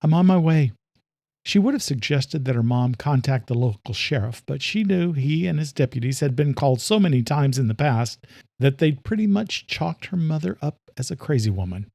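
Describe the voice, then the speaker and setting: A man's voice: mid-range voice, animated, normal speed, gravelly, a little mellow, dry recording. A man narrating scripted horror-thriller fiction as a storyteller, close on the mic.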